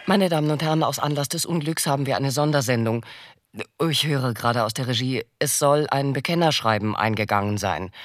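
Man playing earnest news presenter, mid-range voice, formal bulletin delivery, measured, radio play. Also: medium pitch, no room sound, natural voice. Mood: formal, grave.

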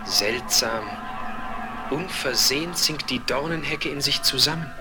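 An actor playing an ardent, fearless young prince in a 1970s German fairy-tale radio play, speaking theatrically and deliberately. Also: male voice, mid-range voice, lightly acted. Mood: amazed, amused. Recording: faintly noisy, clicking vinyl record.

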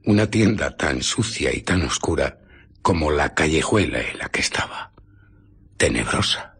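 A deep, very raspy voice of an embittered scientist in hiding. He tells his furtive story deliberately, with dramatic pauses and lapses into breathy whispering, in the manner of radio theatre. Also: big pitch swings, a bit bright, heavily acted. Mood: brooding. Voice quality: husky, breathy voice slipping into whispers. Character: Griffin, embittered invisible man scientist recounting his furtive exploits. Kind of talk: radio drama narration and dialogue.

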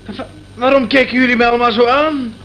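A man's voice: extremely high pitch, fairly even intonation, a little fast, a bit bright. A man in a radio play acting a puzzled, touchy fellow. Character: puzzled, touchy man overdressed in winter clothes.

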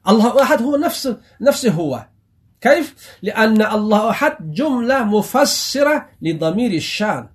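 High-voiced man teaching grammar. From Afghanistan. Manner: explains slowly, repeats phrases and asks himself rhetorical questions. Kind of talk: grammar lesson.

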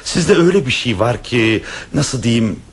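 A man's voice: mid-range voice, lively intonation, unhurried, husky. An actor playing a hesitant middle-aged professor and father in a radio play, stammering with shyness. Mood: earnest. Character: flustered middle-aged male professor, shy suitor.

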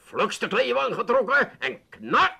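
A man's voice: very high-pitched, very animated, unhurried, creaky, pushed and bright, breathy edge, exaggerated voice. An actor playing a dim, gung-ho man infatuated with soldiering, speaking theatrically. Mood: heroic, excited. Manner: theatrical, swooning with enthusiasm. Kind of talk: comic radio drama acting.

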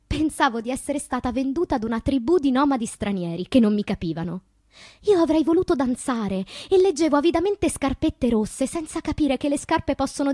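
An actress playing a wistful, wounded woman poet in a theatrical radio monologue, with slow deliberate phrasing and a breathy voice that drops into whispers. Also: sits high, a little mellow, no echo, clean studio sound.

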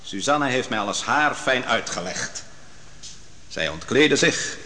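A man's voice: medium pitch, animated, unhurried, pushed and bright. An actor playing a bold, righteous man who cross-examines witnesses in a biblical radio drama, commanding and probing. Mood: stern, accusing. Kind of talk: Dutch radio drama acting. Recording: long hall reverb.

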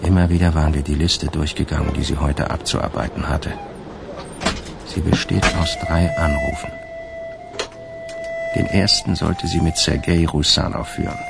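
A man acting in a radio drama as a wistful fugitive who confides in his diary in a gravelly, measured voice. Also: fairly even intonation, over loud music, heavily acted. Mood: resolute, reflective. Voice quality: deep, gravelly, creaky. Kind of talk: radio drama first-person narration.

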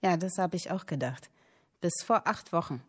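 A woman's voice: on the low side, expressive, moderate pace, breathy edge. An actress playing an earnest young woman writer, speaking in a dramatic, literary radio drama style. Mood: polite, hesitant. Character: earnest young woman writing and reading her story.

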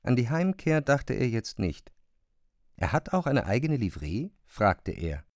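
An actor playing a reserved, questioning outsider who tells his story deliberately in a literary radio drama. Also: medium pitch, very animated, darkish tone, dry recording, studio quality.

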